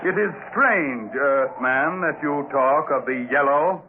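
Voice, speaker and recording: An actor playing a proud, eerie giant alien chief who speaks solemnly in formal, archaic phrases, in a 1950s radio drama. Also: male voice, fairly high pitch, takes it slowly. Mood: solemn, grave. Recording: old muffled radio recording with noise.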